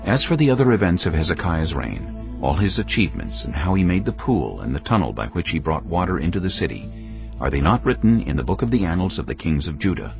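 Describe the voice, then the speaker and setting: A man narrating scripture in a measured, solemn storytelling voice. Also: low-pitched, very animated, creaky. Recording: dull lo-fi audio over faint music.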